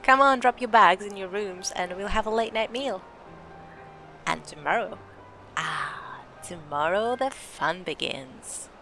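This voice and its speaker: A woman's voice: mid-range voice, lively intonation, unhurried, bright, forceful tone, slight background noise, low-quality recording. A woman playing a wealthy, playful host of a country house in an audio drama, self-assured and teasing. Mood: eager. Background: music.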